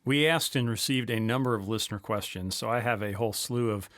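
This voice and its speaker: A man's voice: on the low side, fairly quick, a bit bright, dry recording, clean studio sound. An American man interviewing a guest conversationally as a podcast host.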